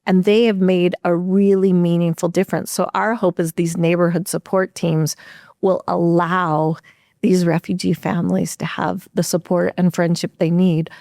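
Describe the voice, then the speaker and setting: Low-voiced earnest woman, dry studio. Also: little pitch movement, normal speed, dark tone, no room sound. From United States. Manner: earnest and upbeat, explains at length with restarts. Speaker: executive director of a refugee nonprofit, podcast guest. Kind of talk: conversational podcast interview.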